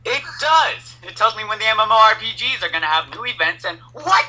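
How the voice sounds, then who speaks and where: A theatrical young anime big brother, acted by a man, with a sharp, forceful voice set a little high. He is a lazy gamer with a flair for drama, sliding between weary drawl and yelling. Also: sing-song, fairly quick, soft background music, heavily acted. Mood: panicked pleading. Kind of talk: anime fandub script reading.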